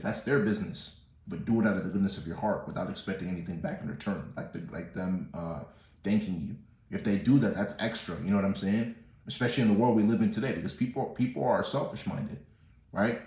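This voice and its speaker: A man's voice: sits low, a little mellow. A young man born in the US to a Nigerian family, giving a casual motivational talk and preaching with lots of "bro" and "you know what I'm saying". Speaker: motivational vlogger. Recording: echoey room.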